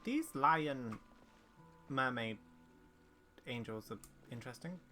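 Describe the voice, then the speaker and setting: A man giving casual, informal reaction commentary while playing a video game, musing aloud in an animated way. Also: mid-range voice, slow pace, faint music behind.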